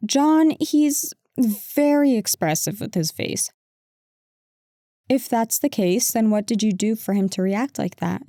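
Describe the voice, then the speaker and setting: A woman acts out a bickering but affectionate girlfriend in an intimate audio drama. Her voice is mid-pitched and mellow, with slow, conversational delivery and a sarcastic, defensive edge. The recording is very dry and close. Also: animated, no echo. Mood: exasperated, defensive. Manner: conversational, exasperated, sarcastic, addressing an unheard partner. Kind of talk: intimate audio roleplay acting.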